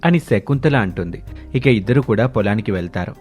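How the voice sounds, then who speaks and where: A man's voice: on the low side, animated, a little fast, darkish tone, slightly rough. A man narrating a scripted story as its narrator. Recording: music bed under the voice.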